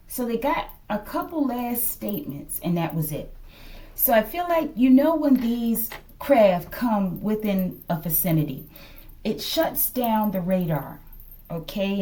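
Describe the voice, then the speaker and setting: A woman giving an improvised tarot reading in casual talk, thinking aloud haltingly and often checking in with her listeners. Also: fairly high pitch, mellow. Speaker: tarot reader and channel host.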